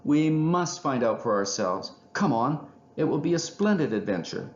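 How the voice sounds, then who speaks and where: A man voicing a skeptical, bossy but adventurous male squirrel in a children's storybook, brisk and insistent. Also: medium pitch, sing-song, roomy sound, dull audio, a little hiss or hum, voice pushed up, natural voice. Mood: eager. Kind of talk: children's storybook character reading.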